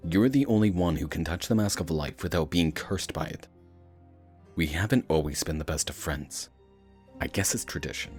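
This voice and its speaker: An earnest cartoon hero, voiced by a man with an extremely low voice. He speaks steadily and sincerely to encourage a teammate, with soft music behind him. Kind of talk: fan-dub animated adventure dialogue.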